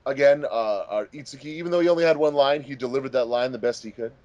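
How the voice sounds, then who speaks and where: A man with a mid-pitched voice hosts an informal group commentary. He rambles a little, hesitates as he goes and hands out compliments in a conversational way. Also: quick pace, darkish tone, highs cut off. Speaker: host of a fandub commentary track.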